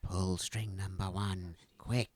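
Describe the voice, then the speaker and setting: Man acting a gruff stagehand accomplice, deep slow voice, terse impatient muttering, dry sound, comedy radio play. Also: flat delivery, dry recording. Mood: impatient, conspiratorial. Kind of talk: comic audio drama acting.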